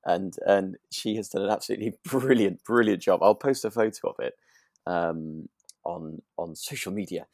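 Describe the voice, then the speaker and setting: A man's voice: low voice, normal speed, darkish tone, dry recording, clean studio sound. A British man co-hosting a podcast, chatting casually and enthusiastically with frequent restarts. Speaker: podcast co-host. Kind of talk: relaxed casual chat between friends. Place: remote internet recording, dry room.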